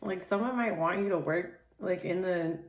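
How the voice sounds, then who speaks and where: A woman's voice: lowish voice, moderate pace. Chatty woman reading tarot, echoey room. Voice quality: says she has a naturally loud voice. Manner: chatty, loose, filler-heavy, says "dude" and "like" constantly. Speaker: tarot reader. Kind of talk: casual tarot reading and rambling chat.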